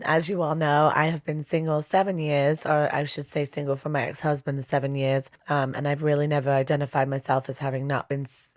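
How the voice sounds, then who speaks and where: A woman in her thirties, hosting a solo podcast in a low, husky voice that is still hoarse from losing it. She chats in a confiding, rambling way with plenty of fillers. The sound is dry and close on the mic. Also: dry recording. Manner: chatty and confiding, many fillers. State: hoarse, recovering lost voice. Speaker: podcast host. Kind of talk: casual solo podcast talk.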